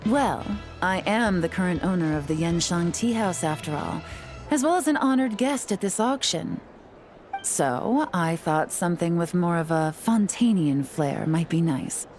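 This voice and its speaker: A composed female spy in a video game, measured and sure of herself. Her voice sits low for a woman. Soft game music plays behind her. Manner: calm, measured, self-assured. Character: cool, composed female spy and tea house owner. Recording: game audio with faint music.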